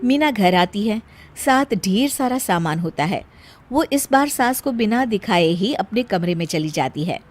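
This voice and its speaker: A woman's voice: medium pitch, animated, unhurried. A woman storyteller reading scripted narration for a story.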